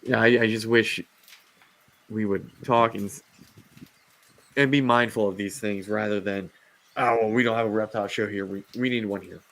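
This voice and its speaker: Gravelly low-voiced man, going hoarse. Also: fairly even intonation, moderate pace, long pauses, a bit bright. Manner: rambling, rant-prone, many fillers and restarts. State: losing his voice. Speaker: host of a reptile live show. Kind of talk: casual livestream chat.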